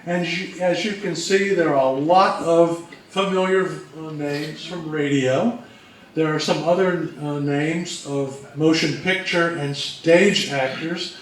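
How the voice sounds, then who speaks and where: Man, high pitch, slow measured presenter, very reverberant room, deliberate delivery. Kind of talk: slide presentation on radio history. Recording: very echoey room, distant mic, faint noise.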